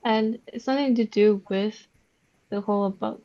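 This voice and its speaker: Young woman, raised in Qatar, halting, flat, mellow, lo-fi mic. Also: mid-range voice, no room sound. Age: young adult. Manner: halting, many likes. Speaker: university student guest. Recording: dull lo-fi mic.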